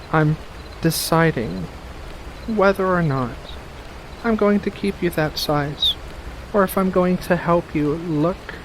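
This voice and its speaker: A man performs a playful witch in a soft-spoken ASMR roleplay, coaxing and teasing with a hint of menace. The pitch sits a little high for a male voice and the delivery is very leisurely, full of deliberate pauses. Soft rain and faint hiss lie behind the words. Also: poor audio. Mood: playful, musing. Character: playful, coy female witch in a forest cottage, faintly menacing.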